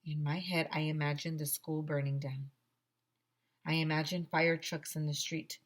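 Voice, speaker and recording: A middle-aged woman reading a children's story aloud like a teacher, with expressive, theatrical emphasis into a headset microphone. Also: sits low, normal speed. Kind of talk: animated children's story read-aloud in a schoolboy's first person. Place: small decorated room indoors.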